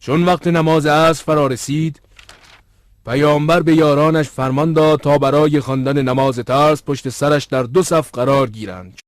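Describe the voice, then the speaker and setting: A man playing an earnest religious narrator in an educational cartoon, with formal, bookish, measured delivery. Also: fairly high pitch, steady tone, no room sound, close to an everyday voice.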